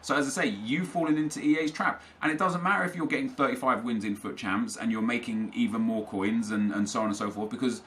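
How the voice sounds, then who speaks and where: A man's voice: medium pitch, fast, hardly any pauses, a bit bright. A young man with a British accent, talking casually and argumentatively as a gaming video host, answering viewer comments and correcting himself mid-sentence. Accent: British English. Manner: rambling, argumentative, self-correcting.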